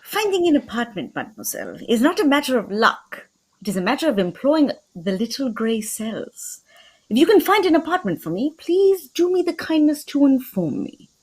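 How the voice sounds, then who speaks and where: A woman playing a proud, fussy, courteous Belgian male detective in a radio drama, with a put-on French accent and a formal, precise, pompous, husky delivery. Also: sits high, sing-song, normal speed, a little mellow, full character voice, rough recording. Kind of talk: old-time radio drama acting.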